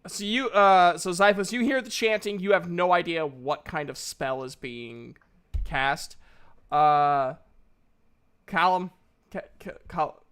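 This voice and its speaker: A man serves as game master for an online tabletop game. His voice sits a little high for a man, and he takes his time, feeling out each phrase with hesitant stops and starts. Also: takes it slowly, fairly bright tone, clean tone. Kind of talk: improvised tabletop game narration.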